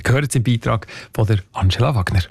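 A man's voice: mid-range voice, brisk, vocal fry, darkish tone, breathy edge, dry recording. A man with a Swiss German accent presenting on the radio, moving between programme introductions and service announcements. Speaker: radio host. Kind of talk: radio presenting and traffic bulletin.

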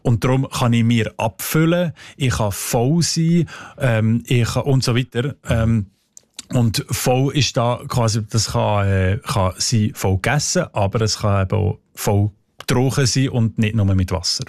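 A man's voice: medium pitch, measured pace, a little mellow, dry recording. A young man with a Senslerdeutsch Swiss German accent, a dialect researcher guest in a radio studio, explaining with many hesitations and listing long runs of phrases. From Sense district, Fribourg, Switzerland.

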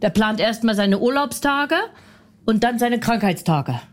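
A brash woman with a fairly high voice rants and mocks in blunt comedic banter. She talks in a loud, pushy way and laughs often between her jabs. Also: animated, unhurried.

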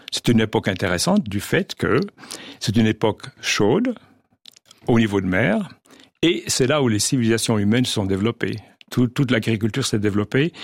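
A man's voice: medium pitch, creaky, no echo, studio quality. An older man, a geologist and oceanographer, explains his research in a radio interview, hesitant with many restarts.

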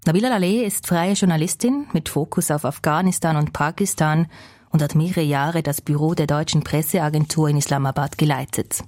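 A woman hosting a news podcast, reading a scripted narration in a calm, measured way. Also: deep voice, dry recording, studio quality. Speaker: podcast host.

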